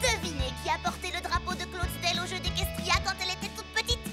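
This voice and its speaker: Cocky sporty girl pony coach for a cartoon, really high voice, brash n impatient, loud music under it. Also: fast talker. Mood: boastful. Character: brash, boastful, excitable young female athletic pony coach. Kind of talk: animated cartoon voice acting.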